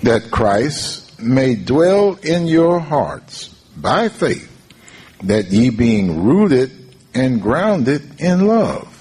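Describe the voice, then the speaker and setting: Very slow, raspy man reading scripture. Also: medium pitch, very animated, darkish tone. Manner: measured, drawn-out reading. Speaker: scripture reader.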